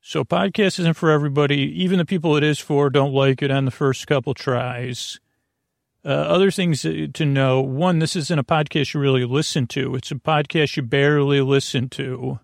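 A man hosting a sleep podcast with a meandering, halting bedtime monologue, often losing his thread and circling back, in a creaky voice. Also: fairly high pitch, steady tone, no room sound, clean studio sound. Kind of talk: rambling bedtime monologue to the listener.

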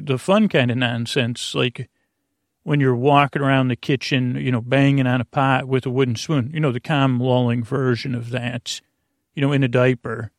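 A man hosting a bedtime podcast in a creaky, lulling voice, meandering and halting through tangents and self-interruptions. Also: mid-range voice, no room sound, studio quality. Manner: soothing, lulling. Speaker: podcast host. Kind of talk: rambling bedtime monologue to help listeners sleep.

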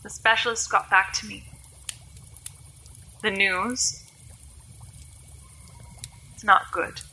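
A woman's voice: mid-range voice, fairly even intonation, slow pace, pushed and bright, heavily acted. A woman playing an ancient, sickly vampire-elf hybrid in an audio drama, guarded, wry and resigned in her delivery. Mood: resigned, sad.

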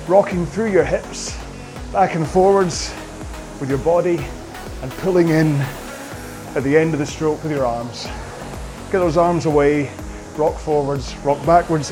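A man coaching a rowing workout, calling out cues in an upbeat, jokey way, his voice slightly hoarse. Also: a little high. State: a bit hoarse. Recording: music playing behind. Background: workout music.

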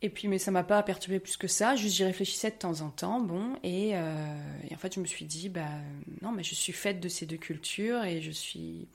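A woman from France with a Parisian accent, giving a lively, conversational testimony. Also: lowish voice, moderate pace, vocal fry, hardly any pauses. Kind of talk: testimony interview.

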